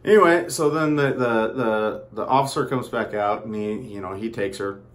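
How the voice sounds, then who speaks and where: Low-voiced man rambling through a story. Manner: rambling and halting, with frequent asides. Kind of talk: casual personal storytelling.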